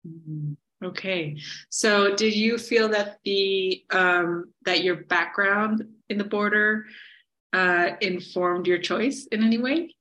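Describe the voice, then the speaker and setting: A woman's voice: medium pitch, slow, pushed and bright, low-quality recording. A woman hosting a podcast who asks her guest questions in a friendly, curious way, often stopping on hesitant fillers. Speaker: podcast host and interviewer. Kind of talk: scripted intro, then casual interview.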